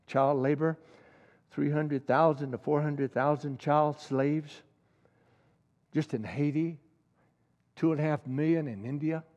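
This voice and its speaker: A man's voice: on the high side, steady tone, a little slow, pauses often, a little mellow, in a large hall, dull audio. A middle-aged man preaching a sermon with passion, repeating key lines and building to emphatic peaks.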